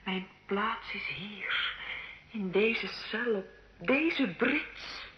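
A woman's voice: medium pitch, expressive, extremely slow. An actress playing a devout, resigned young woman prisoner and visionary in a solemn, theatrical style of old radio drama. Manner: solemn, theatrical, hushed to impassioned. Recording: dull, roomy old radio recording with noise.

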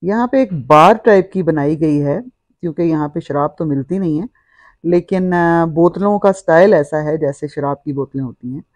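A woman's voice: low-pitched, moderate pace, a little mellow, no echo. A middle-aged woman, narrating a walk-through vlog in a descriptive, explanatory way. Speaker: vlogger.

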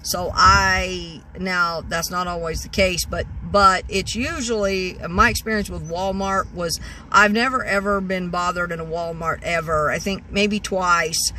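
A woman's voice: on the low side, steady tone, a little slow, bright, forceful tone, a little hiss or hum, rough recording. A woman in her sixties telling a rambling story from her parked car, with lots of restarts and fillers, filmed on a phone at arm's length. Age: 60s. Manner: rambling, many restarts and "you know"s. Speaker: vlogger. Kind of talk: casual storytelling. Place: parked car, indoors of vehicle.

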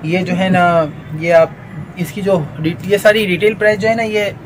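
A man from Pakistan, a wholesale shopkeeper pitching products and filling his talk with "you know" tags. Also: steady tone, normal speed. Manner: fills with "jo hai na" and "theek hai na". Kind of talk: sales pitch demonstration. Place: indoors, crowded accessories shop. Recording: noisy busy shop.

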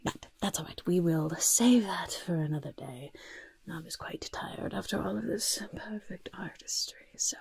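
A woman plays a kindly, shifty goblin disguise master in a soft ASMR roleplay. The voice is lowish and often whispered, with heavy creak. It coaxes gently, repeating words and adding little hesitant asides up close to the mic. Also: normal speed. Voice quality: whispery, breathy, husky. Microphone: close-up microphone.

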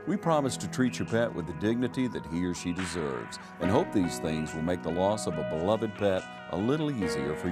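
A man reading scripted advertising narration in a solemn, polished manner. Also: low-pitched. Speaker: commercial announcer. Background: loud acoustic guitar music.

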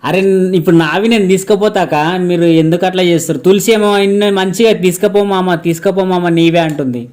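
A man's voice: high voice, fast talker, darkish tone, soft background music. A man teasing his sister on a speakerphone prank call, insistent and playful. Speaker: prankster host. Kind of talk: casual prank call banter.

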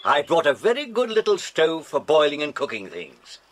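An actor playing a kindly middle-aged English teacher in a children's audio drama, speaking in a genteel, avuncular way. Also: male voice, fairly high pitch, huge pitch swings, moderate pace. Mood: genial. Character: kindly absent-minded middle-aged man, teacher leading children camping.

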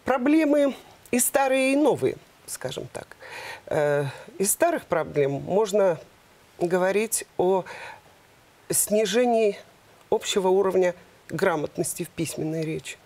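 A woman in her fifties, a university department head, explaining in a measured way with frequent hesitations in a TV studio interview on a lapel mic. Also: mid-range voice, animated, darkish tone.

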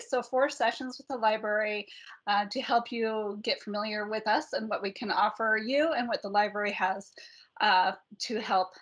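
An American woman with a fairly high voice introduces herself to students as a librarian. She speaks in the style of a friendly presentation. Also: a bit bright. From North Salt Lake City, Utah, US. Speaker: academic librarian.

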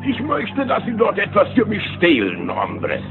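An actor playing a scheming villain mastermind in a cartoon audio drama, speaking dramatically and peppering in Spanish words. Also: male voice, sits high, huge pitch swings, measured pace, creaky, phone-quality sound, exaggerated voice. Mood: sly, commanding. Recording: band-limited old cassette audio, loud music.

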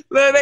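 Man in his thirties, high pitch, excitable slangy host, casual livestream, dry close mic. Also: no echo. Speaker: host of live music show. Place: small indoor room. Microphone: close studio microphone with headphones.

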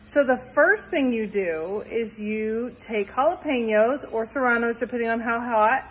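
A woman's voice: fairly high pitch, measured pace, breathy edge, low-quality recording. A woman in her thirties, talking casually and enthusiastically while showing a home cooking recipe in her kitchen, filmed on a phone. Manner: chatty and enthusiastic, upbeat asides. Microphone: phone held close, later propped farther off.

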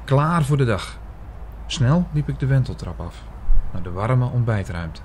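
A man playing an earnest investigator who tells his own story in an audio drama, in a measured way. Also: medium pitch, animated, darkish tone, a little hiss or hum, close to an everyday voice. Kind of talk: scripted audio drama narration.

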